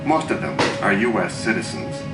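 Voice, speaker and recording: A man's voice: lowish voice, animated, roomy sound. A man giving formal, scripted documentary narration. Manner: formal, measured. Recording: distant TV audio with music behind.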